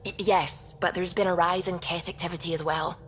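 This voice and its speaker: An actress playing a calm, dryly teasing female starship science officer in a video game. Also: on the low side, fairly quick, slight background noise, lightly acted. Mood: composed, wry. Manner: composed, wry. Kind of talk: video game cutscene acting.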